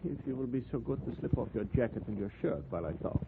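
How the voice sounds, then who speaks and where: Officious doctor, 1940s radio play; creaky voice, swooping delivery, tinny and very noisy old recording. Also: male voice, medium pitch, expressive, fast. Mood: professional, probing. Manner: formal, officious. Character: fussy, authoritative male doctor with clipped formality.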